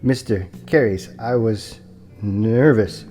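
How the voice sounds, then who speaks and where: An actor playing a meek, courteous, big property broker who stammers on his consonants and speaks in a formal, halting way in an audio drama. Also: fairly low pitch, warm tone, soft background music. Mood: anxious. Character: large muscular male property broker, meek, anxious, courteous.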